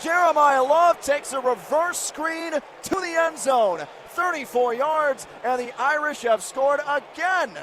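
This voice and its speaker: Male sports radio announcer, very high voice, excitable football caller who can erupt into shouts, faint stadium noise. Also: takes it slowly, few pauses. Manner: excitable, bursts into shouting on big plays. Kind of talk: live football radio play-by-play commentary.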